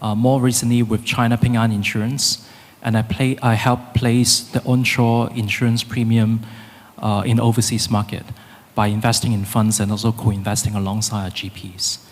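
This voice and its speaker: Halting man. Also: on the low side, flat delivery. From Hong Kong. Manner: halting, many filler pauses. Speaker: conference panelist, fund managing director. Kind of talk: panel self-introduction. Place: conference hall. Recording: long reverb of a conference hall.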